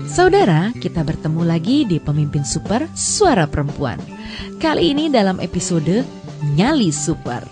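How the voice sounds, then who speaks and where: A woman's voice: on the low side, very animated, normal speed. A woman narrating and hosting a radio drama in a scripted but conversational style. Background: prominent music.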